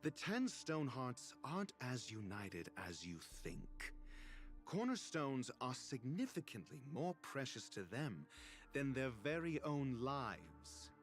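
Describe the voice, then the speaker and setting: A male actor playing a haughty, sardonic scholar in a video game, speaking in an arrogant, deliberate, dry manner. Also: medium pitch, big pitch swings. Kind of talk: scripted video game dialogue. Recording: music behind the voice. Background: music.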